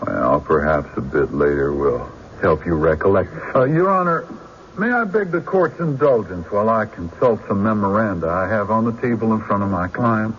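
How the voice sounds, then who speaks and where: An actor playing a shrewd, courteous country lawyer cross-examining a witness in a radio drama, deliberate and probing. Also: male voice, fairly low pitch, animated, lightly acted. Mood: shrewd, wry. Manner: deliberate, probing, folksy hesitations. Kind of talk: old-time radio drama acting. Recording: dull radio recording, faint noise.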